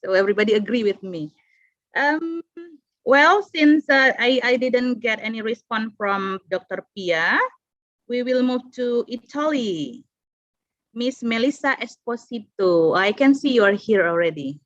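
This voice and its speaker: A woman's voice: on the high side, animated, unhurried, a bit bright, no room sound. Hesitant woman moderating a video call. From Indonesia. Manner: many fillers and restarts, laughs often. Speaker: conference moderator. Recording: dull video call audio.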